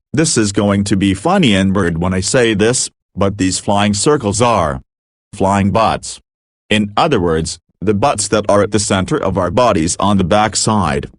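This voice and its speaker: A man's voice: fairly low pitch, no room sound, studio quality. A man narrating a scripted mock emergency broadcast as an announcer, matter-of-fact with casual asides.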